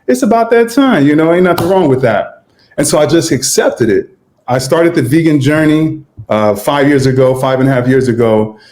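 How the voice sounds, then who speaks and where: A man in his forties, a guest vegan chef, telling his story in a relaxed, easygoing interview chat and acting out his own past thoughts. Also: fairly high pitch, moderate pace. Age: 40s. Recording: roomy echo.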